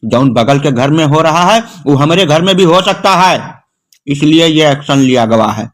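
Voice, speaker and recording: A man's voice: a little high, animated, normal speed, lightly acted. A man playing an assertive, intimidating villager in a comedy cartoon, curt and commanding, with a rural North Indian Hindi accent. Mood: menacing.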